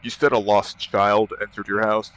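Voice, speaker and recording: A man's voice: fairly low pitch, little pitch movement, moderate pace, a bit bright, slight background noise, lightly acted. A man playing a businesslike police emergency dispatcher, questioning steadily in an acted phone call. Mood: calm, concerned.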